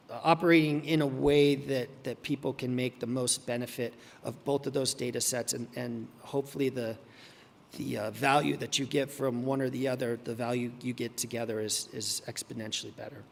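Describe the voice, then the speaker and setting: Hoarse, creaky male presenter. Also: medium pitch, long reverb tail, slight background noise. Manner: halting, full of ums and restarts. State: hoarse, losing his voice. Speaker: conference presenter. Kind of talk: conference talk with many fillers. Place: conference hall.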